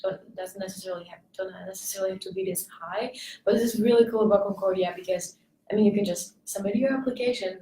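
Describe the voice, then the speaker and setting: A woman's voice: medium pitch, mellow. Young woman from Slovakia, student panelist, filler-heavy casual talk, distant mic. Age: young adult. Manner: chatty, many fillers. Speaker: admissions intern. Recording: echoey room, off-mic.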